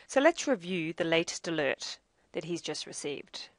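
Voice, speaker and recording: A woman narrating a scripted software tutorial as demo presenter, in a measured, explanatory way. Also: low voice, big pitch swings, no echo.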